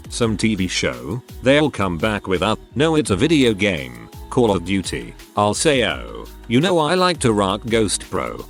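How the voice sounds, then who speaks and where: A man narrating online posts aloud in an expressive, animated way. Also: fairly low pitch.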